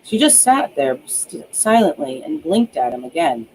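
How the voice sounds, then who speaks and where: A man's voice: on the high side, sing-song, unhurried, darkish tone, a little hiss or hum, low-quality recording. A man narrating a romance audiobook in a dramatic storytelling way, with the odd stumble. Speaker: author reading own novel aloud.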